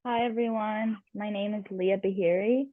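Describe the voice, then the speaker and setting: A woman's voice: medium pitch, fairly even intonation, moderate pace, no room sound. Young woman on a tinny line. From United States. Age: 20s. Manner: casual, with fillers and self-corrections. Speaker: reserve officer guest. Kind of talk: self-introduction in an interview.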